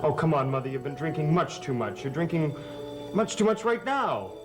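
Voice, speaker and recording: An actor playing a bitter, protective grown son in a melodramatic gothic soap opera, pressing with accusatory questions. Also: male voice, on the high side, sing-song, normal speed. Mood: probing. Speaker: actor in a TV drama. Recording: old TV soundtrack with music behind.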